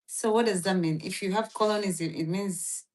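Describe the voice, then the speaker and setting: A woman's voice: mid-range voice, a little fast, a little mellow. A woman chatting casually on a live stream, rambling with lots of fillers as she thinks aloud and reads from a search. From Philippines. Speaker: livestream host.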